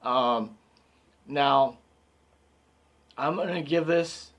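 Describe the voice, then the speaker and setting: A man giving a casual, rambling movie review, excited and slightly hoarse from talking so much. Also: medium pitch, extremely slow, dull audio. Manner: enthusiastic, rambling with many fillers. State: slightly hoarse, losing his voice.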